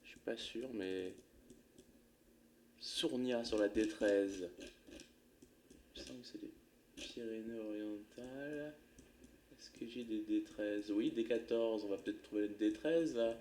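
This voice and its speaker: A man's voice: lowish voice, takes it slowly, long pauses, warm tone, slight background noise. A man commentating his own play of a geography game live, thinking aloud in a casual, muttering way with some swearing.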